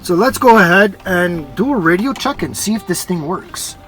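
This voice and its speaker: A man giving a casual how-to narration on installing a radio in his truck, talking in a relaxed, rambling way with lots of fillers. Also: high-pitched, normal speed, soft background music. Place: parking garage beside his truck.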